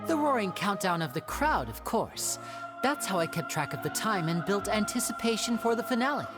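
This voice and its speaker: A man voicing a cool, evasive young stage magician who deflects questions in a composed, smooth way in a game cutscene. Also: high voice, sing-song, normal speed, music in the background.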